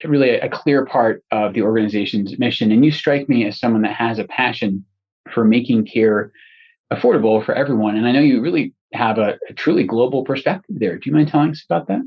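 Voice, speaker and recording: A man from Scotland hosting a podcast interview, talking in a friendly, halting way with many restarts. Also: mid-range voice, husky, highs cut off. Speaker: podcast host. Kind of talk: conversational interview.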